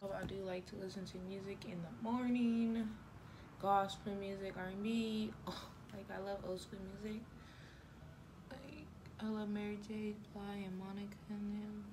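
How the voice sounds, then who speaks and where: A young woman in her twenties with a raspy voice, talking loosely to the camera as she does her hair and often stopping to correct herself. She records on a phone propped up in her room. Loud background noise runs under her voice. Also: mid-range voice, flat delivery, moderate pace. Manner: rambling, self-correcting, chatty. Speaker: beauty vlogger. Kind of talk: casual get-ready-with-me chat. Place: bedroom or apartment room, indoors. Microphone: phone camera propped at arm's length. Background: traffic noise from outside.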